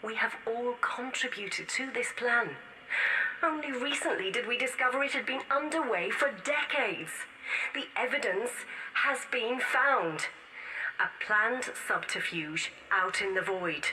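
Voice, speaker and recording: An actress playing a defiant rebel woman leaving a grave final testament in a science-fiction game audio log, solemn, urgent and deliberate. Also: on the high side, animated, background noise. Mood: grave, conspiratorial.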